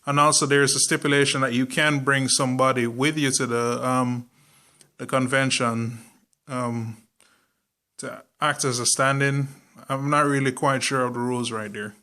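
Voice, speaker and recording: Bright-voiced man with a Jamaican accent. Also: mid-range voice, fairly even intonation, normal speed. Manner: chatty and informal, many ums, occasional swearing. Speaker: political vlogger. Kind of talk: casual political commentary to camera.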